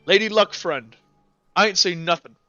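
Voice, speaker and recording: Man playing a high-voiced, official city guard. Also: normal speed, pushed and bright, clear, resonant tone, dry recording, dull audio, soft background music. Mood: flatly official. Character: dutiful male city guard covering for his friend.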